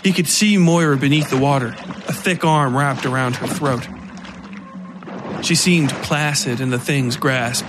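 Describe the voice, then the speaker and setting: A man reading scripted dark fiction as a narrator. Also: mid-range voice, unhurried, music in the background.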